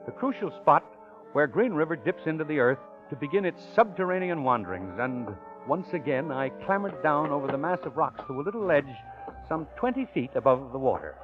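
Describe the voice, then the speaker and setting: An actor playing a smooth con man posing as a professor, with ornate, courtly diction in old-time radio style. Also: a little high, very animated, a little fast. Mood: wry narrating. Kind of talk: old-time radio acting with first-person narration. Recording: muffled old radio recording, faint music.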